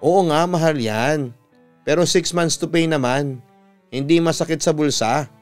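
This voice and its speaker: A man's voice: on the high side, unhurried, clear, resonant tone, soft background music, lightly acted. Man playing a snappish, defensive young Filipina. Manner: irritated, snobbish, curt. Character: defensive, snappish young woman who loves luxuries.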